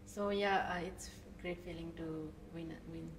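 A woman with a Nepali accent and a fairly low voice gives casual post-match answers. She is some way from the mic, with faint noise around her. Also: steady tone, a little slow, far from the mic. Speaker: cricket player at post-match press conference. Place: indoor press conference room.

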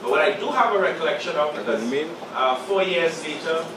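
A man in his forties with a sore throat from a cold, giving measured opening remarks as moderator of a panel discussion to a live audience. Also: a little high, expressive, background noise. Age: 40s. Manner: measured, halting, with many pauses and fillers. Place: small meeting room with a live audience. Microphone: podium mic, filmed from the back of the room. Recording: echoey room recorded from far off.

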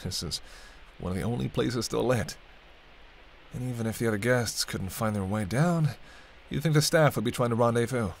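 A man's voice: medium pitch, normal speed, creaky, slight background noise, natural voice. A man playing a sardonic, teasing vampire in an audio roleplay, talking casually and wryly to the listener as a reluctant companion. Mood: wary, musing. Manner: wry, bantering, casual, muttered asides. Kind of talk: intimate audio roleplay acting addressed to the listener.

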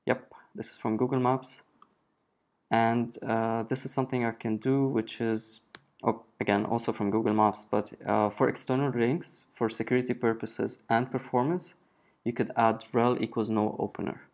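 A man in his thirties talking through a software tool in a relaxed screencast, with frequent fillers as he explains. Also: mid-range voice, normal speed. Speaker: web developer presenting a screencast. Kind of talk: casual screencast walkthrough.

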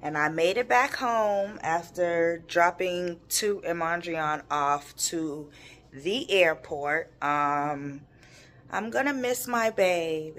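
A woman's voice: sits low, fairly bright tone. A young American woman chatting casually and slangily to a vlog audience. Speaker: vlogger. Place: home kitchen, indoors. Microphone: phone at arm's length.

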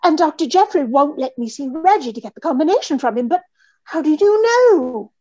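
A woman's voice: high-pitched, expressive, brisk, a little mellow. A woman playing an anxious, genteel young fiancée in old-time radio mystery style, with a pleading manner. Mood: nervous, pleading. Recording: dull video-call audio.

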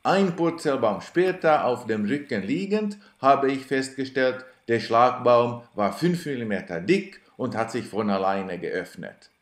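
Slow, dry man narrating. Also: medium pitch, expressive, reverberant. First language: Swedish. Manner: dry, self-ironic storytelling. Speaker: cyclist narrating his trip video. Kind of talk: scripted voice-over narration.

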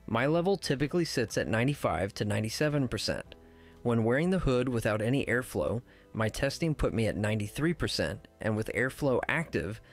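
A man giving scripted voice-over narration for a technical how-to video, in a measured, precise, instructional way. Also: mid-range voice. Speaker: tutorial narrator.

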